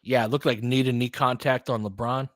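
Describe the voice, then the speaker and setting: A man's voice: mid-range voice, steady tone, a little fast, a bit bright, dry recording, studio quality. A man giving informal live basketball play-by-play and analysis, conversational and openly partisan, like a fan of the home team.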